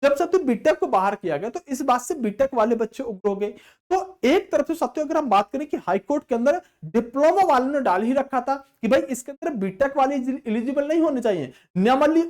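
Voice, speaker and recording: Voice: sits high, a little mellow. An exam news presenter giving an explanatory update to students, emphatic, often addressing listeners as friends and posing questions to answer.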